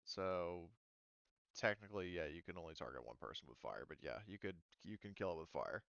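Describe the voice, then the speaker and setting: Low voiced guy game mastering, thinks out loud over rules, dry slightly dull mic. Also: animated, fairly quick, gravelly, dry recording. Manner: hesitant, thinking aloud while checking rules. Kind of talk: casual tabletop game refereeing.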